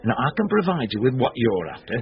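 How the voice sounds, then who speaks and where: A man's voice: medium pitch, sing-song, fast. An actor playing a boastful, slyly confiding self-made businessman with a self-important manner in a radio drama. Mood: knowing, warning. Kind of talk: British radio drama acting. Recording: dull lo-fi radio recording.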